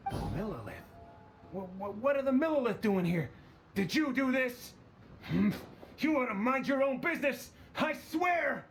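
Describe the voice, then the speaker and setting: Wheedling, swooping thug boss. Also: male voice, extremely high pitch, expressive, normal speed, faint music behind. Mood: flustered. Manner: theatrical, wheedling, blustering. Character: greedy wheedling small-time thug boss, cowardly bully. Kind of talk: video game voice acting.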